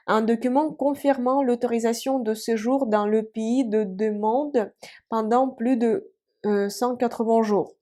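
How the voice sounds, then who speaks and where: A woman's voice: fairly high pitch, darkish tone. A woman in her thirties, a native Russian speaker, explaining things in a chatty, hesitant way with many fillers, as an online language teacher. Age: 30s. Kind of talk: casual explanatory online lesson.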